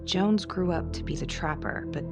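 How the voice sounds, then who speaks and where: A woman playing a determined, guarded homicide detective in a crime thriller game, serious and direct with a creaky voice. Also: on the low side, normal speed, lightly acted. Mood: pensive. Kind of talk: cinematic crime game acting. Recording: dramatic music behind the voice. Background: music.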